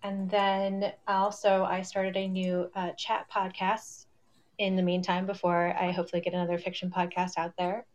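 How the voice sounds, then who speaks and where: A woman's voice: on the low side, flat delivery, normal speed. A woman moderating a panel in casual chat, effusive and encouraging.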